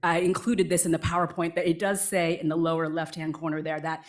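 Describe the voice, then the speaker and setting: A woman in her forties, born in Vietnam and raised near Boston, talking to a live audience in a chatty, self-deprecating way. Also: fairly quick. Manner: chatty and self-deprecating, lively pitch swings. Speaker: guest speaker, writer. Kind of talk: casual talk to a live audience.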